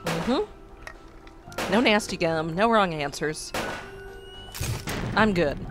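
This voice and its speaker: A hoarse man, his voice still froggy after an illness, hosting a let's play and tossing in wry, sarcastic asides. He talks slowly at an ordinary pitch. Soft game music plays faintly underneath. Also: big pitch swings. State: hoarse after being sick. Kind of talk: casual game commentary.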